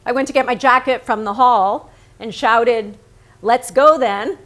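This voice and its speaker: A frank woman painter, hopelessly smitten, in a staged play. Her voice sits fairly high and moves very slowly. She delivers each thought intimately and dramatically, weighing it as she goes. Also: a bit bright. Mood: brisk, frank. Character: Lee Krasner, frank, smitten thirty-something woman painter. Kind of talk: theatrical staged reading, monologue and dialogue.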